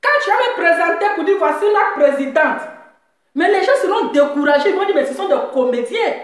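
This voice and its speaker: A woman's voice: very high voice, lively intonation, brisk. A woman with a Central African French accent ranting on a live stream, mocking and laughing, repeating her points. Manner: scolding, laughing, repeats phrases. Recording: very echoey room.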